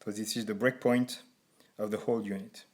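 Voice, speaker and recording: A man in his forties with a French accent, haltingly explaining a board game in non-native English as a reviewer. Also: lowish voice, fairly even intonation. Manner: halting, deliberately slow speech for learners.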